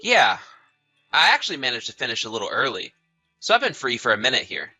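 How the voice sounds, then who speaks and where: A man's voice: mid-range voice, huge pitch swings, fast, pushed and bright, faint music behind, exaggerated voice. A man acting a betrayed husband in a dramatized story, polite but suspicious and accusing, with a theatrical, emphatic delivery. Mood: guarded. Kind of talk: dramatized text-message story narration.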